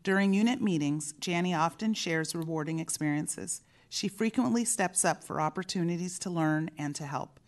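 A woman's voice: on the low side, normal speed, few pauses. A woman at a commission meeting, steadily reading a prepared employee-of-the-month citation aloud.

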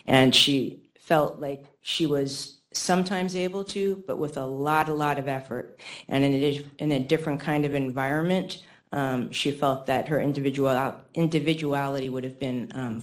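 A woman moderating testimony at a hearing, speaking semi-formally and carefully, pausing and repeating words for emphasis. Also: very deep voice. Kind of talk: semi-formal interviewing and remarks.

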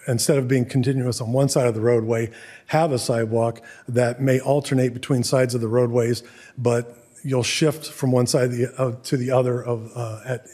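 A man presenting at a public meeting as city staff, halting in delivery, his voice hoarse and giving out. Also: medium pitch, a little mellow.

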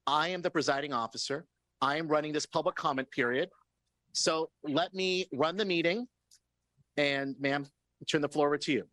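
A man's voice: on the high side, normal speed, fairly bright tone, no echo, low-quality recording. A man presiding over a city council meeting, running public comment with firm, procedural phrasing and repeated polite cut-offs. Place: council chamber.

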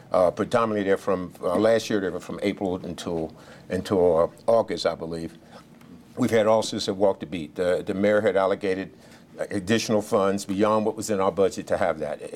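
A police official giving remarks at a press briefing, speaking loudly and haltingly, with many fillers and restarts. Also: male voice, on the low side. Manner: speaks loudly without a mic.

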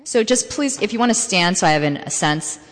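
A woman's voice: on the low side, animated, brisk. A woman moderating public comment as chair of a hearing. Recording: echoey hall, long reverb.

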